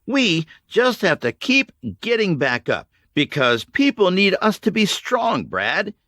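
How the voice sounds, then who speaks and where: A man voicing a tired, determined woman who leads a group of children through a ruined city, speaking in steady, encouraging commands. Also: high-pitched, slow pace, no room sound, studio quality, voice pushed up, heavily acted. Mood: firm.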